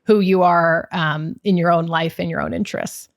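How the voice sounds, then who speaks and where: A woman's voice: lowish voice, fairly even intonation, normal speed, no room sound, clean studio sound. A woman co-hosting a podcast, putting questions to a guest in an easy conversational style. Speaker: podcast co-host, interviewer. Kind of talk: casual conversational interviewing.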